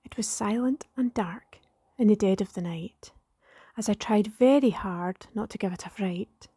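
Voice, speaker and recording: A woman reading a rhyming poem in a hushed, partly whispered way. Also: medium pitch, normal speed, slightly rough, no echo, clean studio sound. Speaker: library staff member reading a poem. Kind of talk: poetry reading.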